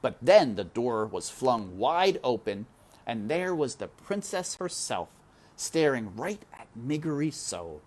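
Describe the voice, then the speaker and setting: A woman's voice: very deep voice, very animated, takes it slowly, a little mellow. A woman storyteller reading a children's book aloud expressively, with chatty asides.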